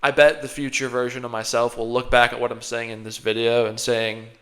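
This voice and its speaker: A man's voice: mid-range voice, little pitch movement, fairly quick, fairly bright tone. A man with a slight cold, sniffling as he rambles through a self-critical monologue close to his microphone. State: a little sick, sniffling. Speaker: day trader reflecting on his own trading. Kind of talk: casual self-reflective monologue.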